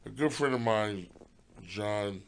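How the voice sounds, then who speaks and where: A middle-aged man, a comedian guest, chatting casually and haltingly, trailing off and joking. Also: on the low side, smooth. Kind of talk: casual podcast chat.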